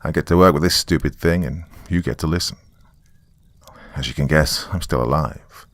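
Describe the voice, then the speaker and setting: A man's voice: a bass voice, normal speed, fairly bright tone, dry recording. A man voicing a weary, sardonic small-town man, rambling wryly through a taped confession in a horror story. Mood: wry, flustered. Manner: rambling, wry, colloquial. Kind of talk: dramatic monologue as a taped confession.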